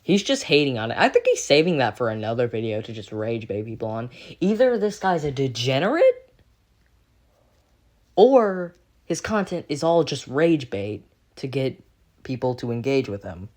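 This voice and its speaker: A young man in an unscripted critical rant as a video commentator, halting and repeating himself as he gropes for words, exasperated and sweary. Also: mid-range voice, sing-song, darkish tone. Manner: halting, repetitive, groping for words.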